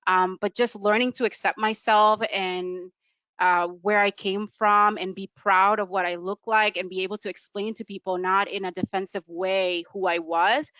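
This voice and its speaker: A woman's voice: mid-range voice, fairly even intonation, normal speed, a bit bright, no echo. A woman from the United States, earnestly reflecting on her own experience as a panelist over a video call. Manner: earnest, reflective, loose with fillers. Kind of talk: personal account on a panel. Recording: dull lo-fi video call audio.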